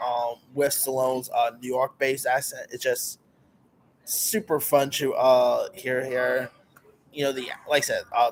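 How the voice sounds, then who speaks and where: A man's voice: mid-range voice, slight background noise. A man hosting his own channel, reviewing a movie in a casual, rambling way, with lots of fillers and restarts and plenty of enthusiasm.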